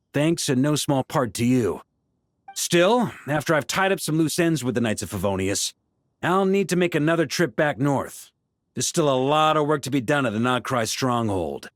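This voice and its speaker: An actor playing a relaxed, good-humored grandmaster knight, speaking in an easygoing, wry way. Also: male voice, on the high side, no room sound, studio quality. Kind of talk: game voice acting.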